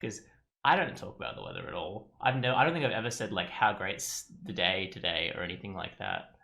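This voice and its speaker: A man's voice: deep voice, very animated, a little fast, creaky, sharp tone. A man giving casual let's play commentary, thinking aloud as he goes. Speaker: game streamer.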